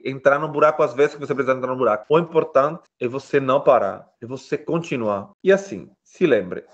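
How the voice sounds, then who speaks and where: A man from Brazil, a guest in a casual interview, giving frank, animated advice and often addressing listeners directly. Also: medium pitch, dull audio.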